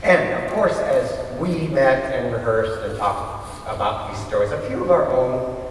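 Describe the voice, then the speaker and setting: A man presenting and telling stories on stage in a conversational but scripted, deliberate manner. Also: medium pitch, expressive. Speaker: readers theater presenter and storyteller. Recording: echoey hall from far off, faint noise.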